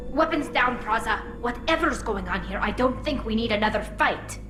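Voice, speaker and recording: Actress as young female alien squad leader, earnest, firm, higher voice, echoey, game music behind. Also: animated, moderate pace, close to an everyday voice.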